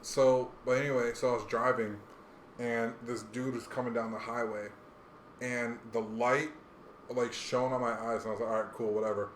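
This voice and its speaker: An American man co-hosts a podcast in loose, casual talk full of slang and profanity. His mid-pitched voice wanders from point to point. The room echoes noticeably, with faint noise behind him. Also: little pitch movement, normal speed. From Hawaii, United States. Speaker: podcast co-host. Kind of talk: casual chat. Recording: roomy echo, faint background noise.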